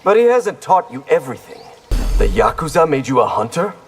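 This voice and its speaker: An actor playing a wry, easygoing ninja in a video game, with casual, teasing delivery. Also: male voice, fairly high pitch, big pitch swings, brisk, soft background music. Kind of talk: video game character dialogue. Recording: long reverb.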